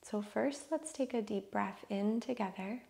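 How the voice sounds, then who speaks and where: A woman leading a guided breathing meditation, counting breaths softly and slipping into whispers. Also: medium pitch, vocal fry. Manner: slow, soft, soothing counting, drifting into whispers.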